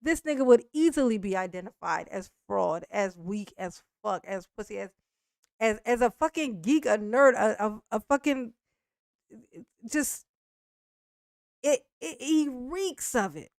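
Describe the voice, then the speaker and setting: A woman's voice: a little high, lively intonation, unhurried, gravelly, no room sound, studio quality. A woman in her forties chatting casually on a live stream, speaking bluntly and profanely with frequent restarts and laughs. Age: 40s.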